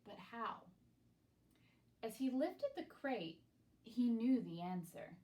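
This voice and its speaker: Slow, deliberate woman reading a storybook. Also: on the high side, very animated, warm tone. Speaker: storybook reader. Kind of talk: expressive read-aloud of a children's picture book.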